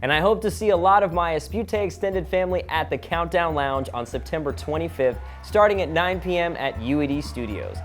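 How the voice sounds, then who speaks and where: A man's voice: a little high, brisk, few pauses, faint music behind. A man hosting a comedic vlog, explaining things in a scripted, instructional way with casual joking asides. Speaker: vlog host.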